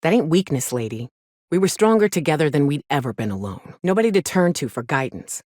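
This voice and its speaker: A woman's voice: deep voice, very animated, fairly quick, a little mellow, no room sound, clean studio sound. An actress playing a jaded, hard-drinking frontier mercenary woman in a video game, blunt, sardonic and defiant, with salty phrasing. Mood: grim, reflective. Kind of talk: video game character dialogue.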